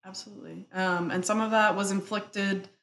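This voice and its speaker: A young adult woman, a native Spanish speaker, reflecting thoughtfully in an interview and talking in halting phrases full of fillers. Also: mid-range voice, steady tone, creaky, fairly bright tone. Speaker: interview guest. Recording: echoey room, faint ambience.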